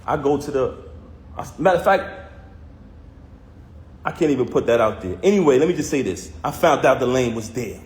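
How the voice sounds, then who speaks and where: A man's voice: medium pitch, animated, moderate pace, pauses often. A man telling viewers a personal story in casual, heated first person, emphatic and full of street slang. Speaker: vlogger recounting a personal conflict. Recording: roomy echo.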